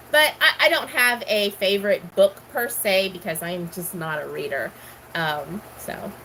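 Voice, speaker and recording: A woman's voice: medium pitch, pushed and bright, a little hiss or hum, rough recording. A middle-aged woman from Texas, casually chatting and answering questions, rambling with many ums. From Houston, Texas. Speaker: gardening vlogger.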